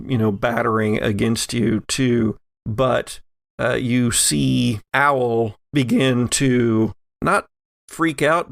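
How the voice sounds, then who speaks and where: A man acting as game master, unfolding improvised horror scenes at a slow pace with a gravelly creak. He pauses for effect and feels his way through phrases, recorded close and dry. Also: mid-range voice, no echo.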